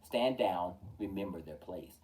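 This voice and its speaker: Animated man reading aloud, slightly distant. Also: deep voice. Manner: theatrical, measured delivery with emphatic openings and falling line endings. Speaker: storytime host reading aloud. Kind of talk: expressive children's picture-book read-aloud in rhyme.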